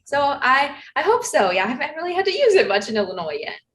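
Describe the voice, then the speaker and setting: A woman's voice: sits high, big pitch swings, quick pace, a bit bright. Woman chatting casually as a guest. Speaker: interview guest. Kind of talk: casual interview chat.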